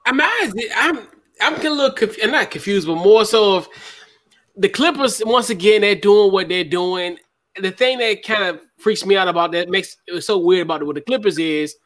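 A man with a notably high voice, talking basketball on a casual podcast. He sounds excitable and is quick to laugh. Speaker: podcast panelist. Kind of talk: casual sports talk.

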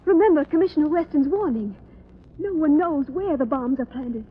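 An actress playing a nervous, frightened wife, tense and pleading, in a 1930s radio drama. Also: female voice, high-pitched, animated, moderate pace. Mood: anxious. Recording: tinny, noisy 1930s radio recording.

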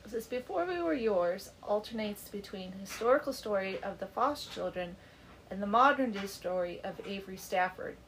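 A woman's voice: medium pitch, lively intonation, moderate pace, fairly bright tone, poor audio. Congested woman doing a book podcast from her basement, chatty, faint background hum. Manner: chatty, laughs easily. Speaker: podcast host. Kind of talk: casual book club chat. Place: home basement.

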